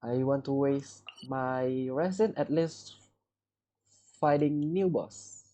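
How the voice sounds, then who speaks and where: A woman's voice: extremely low pitch, slow, warm tone, a little hiss or hum. A woman livestreaming a game, casually muttering and thinking aloud as she plays. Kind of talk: casual live gameplay commentary.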